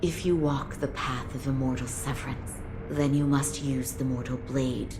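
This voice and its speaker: A woman voicing a calm, compassionate but troubled woman in a game cutscene, soft-spoken, polite and earnest. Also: rumbling low, moderate pace, over music. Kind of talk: dramatic game cutscene acting.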